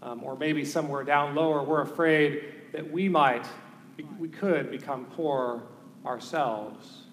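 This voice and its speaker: Earnest priest preaching in an echoey church. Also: male voice, fairly high pitch, slow, slight background noise. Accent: Southern American. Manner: earnest, hesitant with many ums. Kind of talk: sermon. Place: large church sanctuary. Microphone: far camera.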